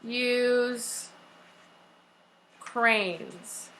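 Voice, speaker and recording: A woman with a high, bright voice leads an elementary lesson. She speaks slowly and deliberately, repeating key phrases and questions as an instructor does. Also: a little hiss or hum, poor audio. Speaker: elementary school teacher. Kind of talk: classroom read-aloud lesson. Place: classroom.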